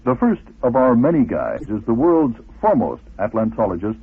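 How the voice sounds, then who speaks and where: A man's voice: mid-range voice, huge pitch swings, moderate pace, husky. A man hosting a radio documentary, reading scripted narration. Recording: noisy phone-like old radio recording.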